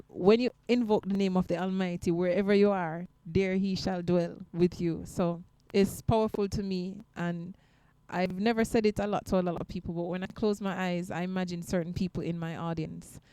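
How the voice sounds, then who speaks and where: A woman who sings, talking in a casual interview in a soft, reflective and earnest way. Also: on the low side, smooth, rough recording. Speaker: guest singer.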